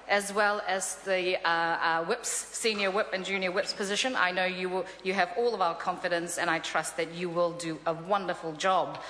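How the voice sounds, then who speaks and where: Bright-voiced Korean-born woman in a chamber. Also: lowish voice, slight background noise. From South Korea. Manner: upbeat, frequent uh fillers. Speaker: member of parliament. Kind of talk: parliamentary speech. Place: large parliamentary chamber. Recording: echoey chamber with long reverb.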